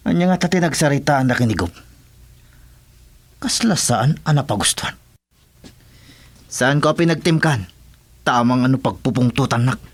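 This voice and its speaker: A man's voice: mid-range voice, expressive, moderate pace, pauses often, lightly acted. A man acting a loyal, wary henchman sidekick in an Ilocano radio drama. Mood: wary, grumbling.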